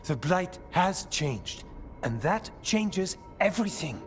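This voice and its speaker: Fantasy game scholar-warden: male, earnest and hesitant, very lively pitch, music behind. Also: takes it slowly, exaggerated voice. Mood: earnest, uneasy. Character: earnest, uneasy male warden scholar, sensitive to dark forces. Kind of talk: dramatic fantasy game acting.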